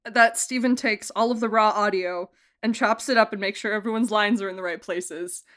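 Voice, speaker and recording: A woman being interviewed as an audio drama director, talking casually and enthusiastically like an animated storyteller. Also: mid-range voice, moderate pace. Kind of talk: casual behind-the-scenes chat.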